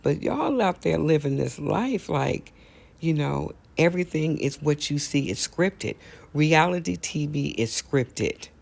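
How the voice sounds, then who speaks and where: A man's voice: fairly high pitch, animated, measured pace, creaky. A man hosting a casual opinion monologue, talking straight to listeners and repeating phrases for emphasis. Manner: conversational, addresses listeners directly. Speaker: host.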